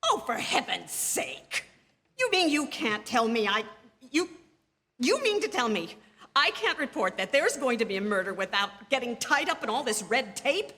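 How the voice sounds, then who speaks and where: A woman's voice: fairly high pitch, big pitch swings, moderate pace, sharp tone. An actress playing an anxious, demanding married woman on the telephone in a stage radio drama, agitated, haughty and insistent. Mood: scornful. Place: theatre stage before an audience. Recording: live theatre stage with long reverb.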